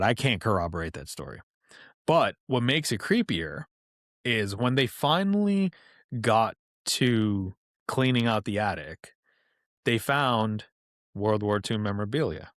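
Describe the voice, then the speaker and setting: A man's voice: fairly low pitch, no echo, clean studio sound. A man guesting on a podcast, telling stories in a loose, chatty way with lots of "like" and casual swearing. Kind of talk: casual storytelling chat.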